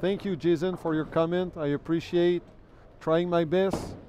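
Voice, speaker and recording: A man's voice: a little high, fairly even intonation, a little slow, warm tone, muffled recording, slight background noise. A man whose first language is French, doing live commentary on a bowling event. He talks in a chatty way, thanks viewers, and repeats words while he thinks. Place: bowling alley.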